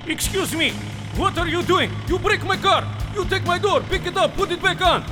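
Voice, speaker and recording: A man voicing a gruff Russian taxi driver in a comedy sketch, speaking broken English with a Russian accent and flat deadpan delivery. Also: very high-pitched, normal speed, over music. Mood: indignant.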